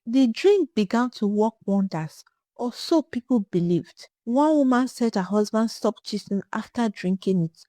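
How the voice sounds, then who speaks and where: Female narrator, lively pitch, dramatic folktale storytelling, suspenseful short phrases, close dry sound. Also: measured pace, no echo.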